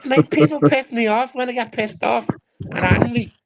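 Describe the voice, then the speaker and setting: Gravelly grumbling high-voiced man. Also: animated, normal speed, crowd noise. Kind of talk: casual chat. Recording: noisy background hiss.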